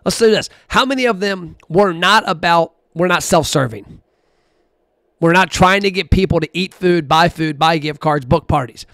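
A man talks straight into a close, dry microphone with a somewhat high voice. He is blunt and animated, chatting casually and counting figures aloud as he goes. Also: moderate pace, no echo. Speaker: podcast host. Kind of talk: casual solo podcast talk.

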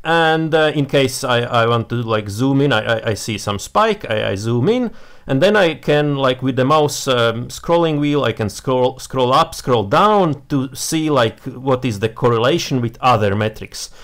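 A young man with a Latvian accent, a native Latvian speaker, walking through a software setup on a screen share in a small room, talking with many fillers and restarts. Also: mid-range voice, lively intonation, measured pace. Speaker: software tutorial presenter.

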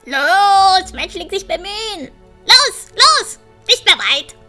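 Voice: very high-pitched, sing-song, takes it slowly, bright, forceful tone, soft background music, full character voice. An actor voicing a small, cheeky, grumbling male dragon, pushy and whiny, speaking in broken grammar in a fantasy audio drama. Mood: urging, impatient.

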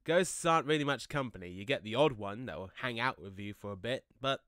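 A man's voice: mid-range voice, lively intonation, a little fast, fairly bright tone, clean tone, no room sound, studio quality, natural voice. A man acting in an audio play as a jokey, laid-back ghost with a casual, slangy, rambling way of talking. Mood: chatty, wistful. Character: chatty, jokey young male ghost, laid-back slacker.

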